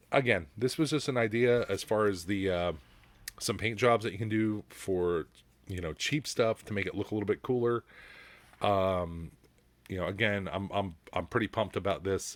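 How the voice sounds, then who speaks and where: A middle-aged man with a low-pitched voice talks off the cuff about his hobby after a few beers. He is laid-back and halting, meandering and swearing mildly. The sound is dry, with very little room in it. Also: no echo. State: has had a few beers. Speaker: hobbyist prop builder presenting a show-and-tell. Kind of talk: casual, unscripted hobby chat.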